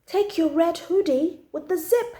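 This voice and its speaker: A woman voicing a practical storybook mother giving brisk instructions to her child. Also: very high voice, warm tone, voice pushed up. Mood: practical, instructive. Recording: echoey room.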